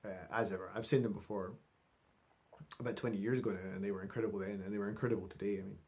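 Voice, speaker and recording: A man's voice: lowish voice, steady tone, rapid-fire, darkish tone. A middle-aged man talking casually to camera in a chatty, rambling, informal vlog style. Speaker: vlogger.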